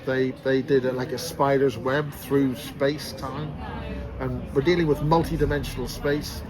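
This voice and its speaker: A man's voice: medium pitch, little pitch movement, normal speed. Rambling man with Belfast accent. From Northern Ireland. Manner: rambling, emphatic, jokey teasing. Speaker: speaker on secret space programs. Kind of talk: casual street conversation. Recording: loud crowd noise behind.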